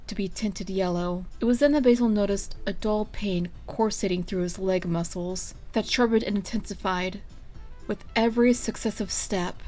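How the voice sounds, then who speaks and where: A woman reading a story aloud as its narrator, in an expressive scripted style. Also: medium pitch. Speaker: fan fiction reader.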